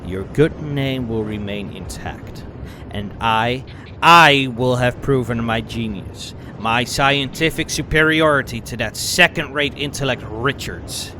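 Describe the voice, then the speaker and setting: An actor voicing an arrogant, theatrical mad-scientist wizard in a comic-book dub, pompous and menacing. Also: male voice, medium pitch, lively intonation, takes it slowly, slight background noise. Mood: coldly menacing. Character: arrogant, vengeful male mad-scientist wizard, obsessed with vindication.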